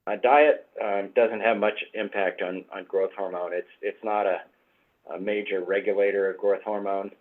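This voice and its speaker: Hoarse man on a tinny line. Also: on the low side, little pitch movement. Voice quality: husky. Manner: measured, explanatory, frequent fillers. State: hoarse from a cold. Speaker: guest doctor. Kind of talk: expert explanation in interview.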